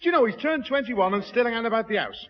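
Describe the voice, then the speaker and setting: An actor playing a jovial middle-aged Northern English bachelor who pompously muddles fancy words in a 1960s radio sitcom. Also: male voice, very high voice, animated, quick pace. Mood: confiding. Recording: muffled, hissy, reverberant 1960s radio recording.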